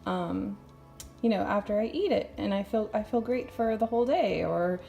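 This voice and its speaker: Texan woman vlogger, chatty and rambling, warm mellow voice, phone-recorded in a home kitchen. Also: mid-range voice, normal speed, gravelly, faint music behind. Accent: light Texan. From Texas. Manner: chatty and rambling, laughs often. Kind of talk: casual cooking demo chat.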